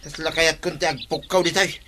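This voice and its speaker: A man's voice: on the high side, fairly even intonation, brisk, noisy background, natural voice. A man playing a hungry, complaining worker in a comedy radio drama, whining and grumbling. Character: hungry, complaining male worker wanting his snack break.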